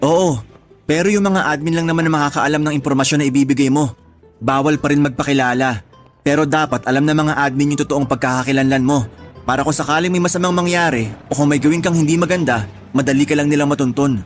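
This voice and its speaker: A man's voice: fairly high pitch, fast, faint music behind, lightly acted. A man with a Filipino accent acting a practical, teasing friend of twenty-seven in colloquial radio drama dialogue. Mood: reassuring. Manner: colloquial, bantering. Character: 27-year-old male friend, teasing, practical, slightly older.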